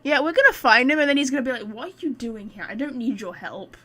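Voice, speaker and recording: A woman's voice: sits high, animated, fast, fairly bright tone, slight background noise. A woman live streaming a game, chatting informally to her viewers with wry, casual commentary.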